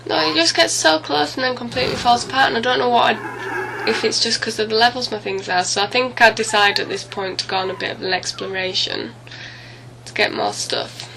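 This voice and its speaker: A woman's voice: a little high, brisk, vocal fry, bright, forceful tone, slight background noise, poor audio. A woman getting over a cold gives casual, rambling, apologetic let's play commentary, often correcting herself as she goes. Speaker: gaming video host. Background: music.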